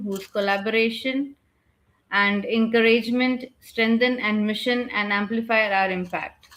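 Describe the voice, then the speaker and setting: A woman giving an earnest, measured vote of thanks at the close of an online webinar. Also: on the high side, fairly bright tone. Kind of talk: read formal thank-you speech. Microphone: webinar video call.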